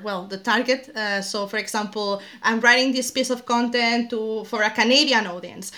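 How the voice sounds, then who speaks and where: Bright-voiced woman, halting speech. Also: a little high. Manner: hesitant, many fillers and restarts. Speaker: podcast guest, SEO specialist. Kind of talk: interview answers.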